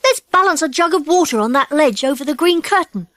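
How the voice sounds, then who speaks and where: An excitable British schoolchild from an old-fashioned storybook gang. The voice is high and swoops in lively exclamations, earnest throughout, recorded close and dry. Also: female voice, fairly quick, no echo. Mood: scheming. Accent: British English.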